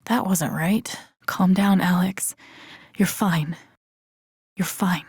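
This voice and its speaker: A woman voicing a young, introspective, dry-humored game heroine, murmuring her thoughts in a husky, breathy voice. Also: lowish voice, fairly even intonation, slow pace, darkish tone, no echo, heavily acted. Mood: tender, sad. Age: young adult. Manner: murmured, breathy thinking aloud, often near a whisper. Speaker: video game voice actress. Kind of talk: soft inner-monologue game voice acting.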